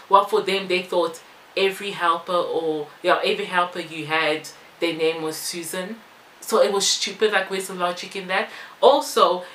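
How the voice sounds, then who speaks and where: A woman's voice: fairly low pitch, sharp tone. A woman, chatting casually and wandering into asides as she does a makeup tutorial and Q&A. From born in Belgium, moved to South Africa. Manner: informal, rambling asides while busy applying makeup. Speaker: beauty vlogger. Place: small bare-walled room indoors. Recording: echoey room with some background noise.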